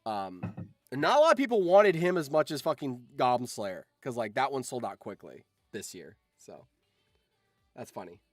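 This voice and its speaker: A man's voice: medium pitch, sing-song, moderate pace, dry recording, clean studio sound, soft background music. A man chatting casually on a livestream, telling anecdotes in an informal, sweary, enthusiastic fan's way. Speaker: streamer and voice actor.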